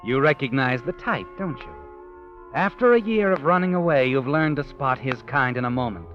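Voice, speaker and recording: An actor playing a knowing, ominous storyteller, narrating a 1940s radio suspense play in a theatrical, insinuating voice. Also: male voice, medium pitch, animated, moderate pace, lightly acted. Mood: tense, insinuating. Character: ominous, knowing male storyteller who walks by night. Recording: muffled 1940s radio, music behind.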